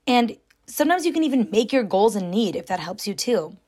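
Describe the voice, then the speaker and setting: A young woman with a raspy, somewhat deep voice hosting her own podcast, talking loudly and rambling with lots of fillers. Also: sing-song, fairly quick. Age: young adult. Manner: chatty, loud, rambling with many fillers. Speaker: podcast host. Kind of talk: casual solo podcast talk.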